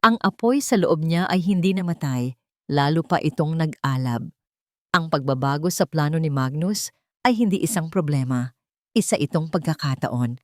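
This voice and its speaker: A woman with a slightly low voice reads a dramatic audio story. Her delivery is measured and solemn, and the recording is dry and close, with little room sound. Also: no room sound. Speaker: story narrator. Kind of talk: scripted dramatic audio story narration in Tagalog.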